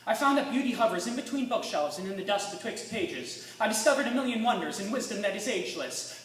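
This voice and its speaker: A teenage boy reciting slam poetry dramatically, intense and emphatic, moving between hushed lines and forceful ones. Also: extremely high pitch. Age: teens. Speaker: student slam poet. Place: live stage in a room with an audience. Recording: echoey room, distant mic, faint noise.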